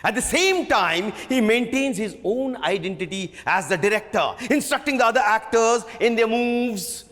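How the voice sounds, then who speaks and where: A man's voice: high voice, lively intonation, a bit bright. Theatrical Indian man reading in an echoey hall. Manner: theatrical, emphatic, with dramatic pauses. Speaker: stage actor giving a dramatic book reading. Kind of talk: dramatic literary reading, scripted narration. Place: large auditorium at a live reading. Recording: echoey hall with long reverb.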